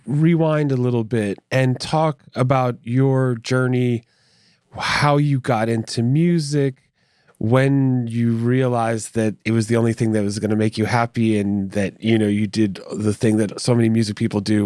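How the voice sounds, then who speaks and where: A man's voice: medium pitch, darkish tone, no room sound, studio quality. A man hosting a podcast interview, asking long rambling questions and affirming the guest often. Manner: affirming listener, rambling multi-part questions. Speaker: podcast host.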